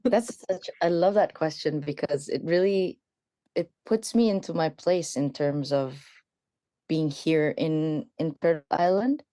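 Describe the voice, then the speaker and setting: A woman from the Philippines, a guest on a radio talk show, telling stories in an animated, casual way and laughing as she goes. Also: deep voice, measured pace, no echo.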